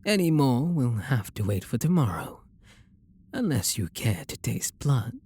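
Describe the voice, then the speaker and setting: Breathy, slow British vampire lord, acted by a man. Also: medium pitch, big pitch swings. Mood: possessive, flirtatious. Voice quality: breathy, gravelly. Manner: soft, slow, seductive murmur with breathy whispers. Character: refined, possessive, tender male vampire lord lover. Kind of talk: intimate ASMR audio roleplay.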